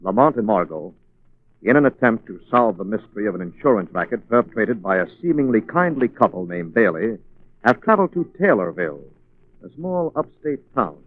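A man's voice: lowish voice, very animated, fairly quick, phone-quality sound, soft background music. A man announcing in polished, salesmanlike 1940s radio style, reading scripted advertising and narration. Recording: thin, band-limited 1940s radio recording.

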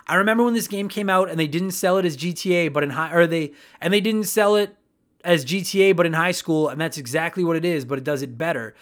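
A man with a high-pitched voice hosts a gaming podcast in relaxed, casual chat. He talks with eager enthusiasm and swears freely. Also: fast. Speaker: podcast host.

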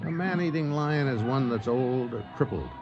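An actor playing a grim, determined lion hunter in a radio drama, terse and commanding. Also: male voice, medium pitch, lively intonation, normal speed, lo-fi sound, over music, close to an everyday voice. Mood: grim, matter-of-fact. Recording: noisy radio drama recording.

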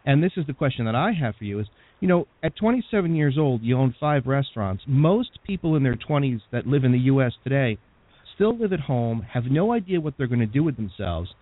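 A man's voice: mid-range voice, very animated, fairly quick. Male radio host, friendly chatter, phone-like thin recording. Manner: chatty, many fillers. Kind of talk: radio interview. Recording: tinny phone-like sound.

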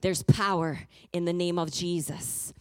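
A woman's voice: fairly low pitch, steady tone, a little slow, a little mellow, clear, resonant tone, dry recording. A woman preaching a fervent sermon, moving between emphatic declarations and a personal testimony told as a story. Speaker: guest preacher.

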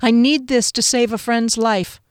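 A woman's voice: fairly high pitch, steady tone, takes it slowly, a bit bright, clean tone, no echo, clean studio sound, voice pushed up. A woman playing a frightened human intruder in a fantasy audiobook, pleading breathlessly in a quavering voice. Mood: pleading, desperate.

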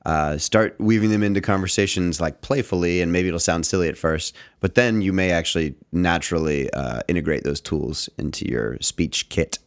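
A man's voice: sits low, moderate pace, hardly any pauses, dry recording, studio quality. A young man co-hosting a podcast, chatting casually and joking with playful vocal swoops. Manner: joking, playful, sometimes swoops his voice for effect. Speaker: podcast co-host.

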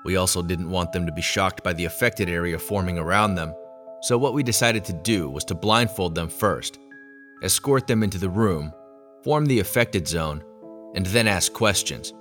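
A man narrating a scripted first-person audio drama as a scientist, in a measured storytelling manner. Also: low voice, fairly bright tone, soft background music.

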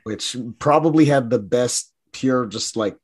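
A man co-hosting a podcast in casual chat, his voice raspy from a weekend of yelling, rambling and trailing off into mumbles. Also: medium pitch, unhurried. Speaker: podcast co-host.

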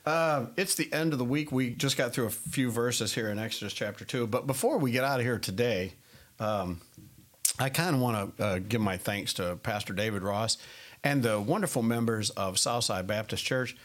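A male pastor hosting a podcast in a relaxed, chatty way. He talks at an everyday middle pitch, leaning on fillers and breaking into laughs. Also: normal speed. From United States. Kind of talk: casual chat.

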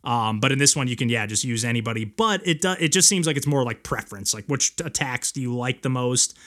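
A man's voice: mid-range voice, lively intonation, fast, fairly bright tone. A man hosting a podcast, chatting casually about video games in a rambling way peppered with filler words. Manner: rambling, frequent "like". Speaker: podcast host.

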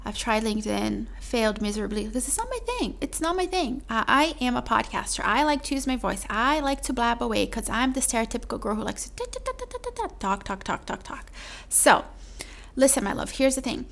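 A woman hosting her own podcast, talking chattily to the listener with a mellow voice and calling them "my love.". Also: a little high, sing-song, a little fast, gravelly. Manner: chatty, calls listener "my love", says "like" often. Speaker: podcast host. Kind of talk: solo podcast sales pitch.

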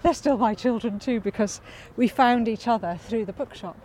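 A woman who hosts a podcast, chatting in a calm, reflective way with frequent restarts while walking outdoors. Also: a little high, normal speed, poor audio. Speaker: podcast host. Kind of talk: casual outdoor conversation. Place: walking outdoors by a cycle path in a city. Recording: street noise outdoors.

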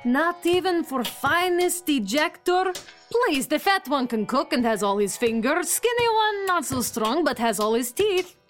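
A burly, effusive junkyard saleswoman pushes her deals in clipped grammar and an Eastern European accent. Her voice is high and very animated, with faint music behind, in a comic audio play. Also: a little slow. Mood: flattering, matchmaking. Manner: hard-selling, effusive, clipped foreign grammar. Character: warm, burly, pushy female junk parts dealer. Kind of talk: comedy sci-fi audio drama.

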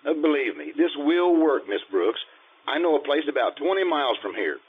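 A man's voice: on the high side, big pitch swings, normal speed, slightly rough, heavily acted. A man playing an earnest, naive, mild-mannered fellow in an old-time radio sitcom, with an eager, guileless delivery. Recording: tinny, noisy radio-style recording.

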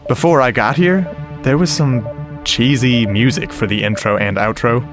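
A man playing a shy, earnest, nerdy sound-effects man, telling his story conversationally and haltingly in a radio drama, with a wistful manner. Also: mid-range voice, lightly acted. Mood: proudly explaining. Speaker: narrator and lead character. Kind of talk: first-person radio drama monologue. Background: music and sound effects.

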